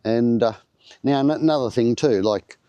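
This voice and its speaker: A man's voice: medium pitch, moderate pace, mellow, smooth, no echo, lo-fi sound. An older Australian man chatting casually to his vlog audience. He rambles along with lots of fillers and restarts, and his voice still sounds slightly off from the flu. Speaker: vlogger. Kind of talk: casual chat to camera. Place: at his home by a greenhouse.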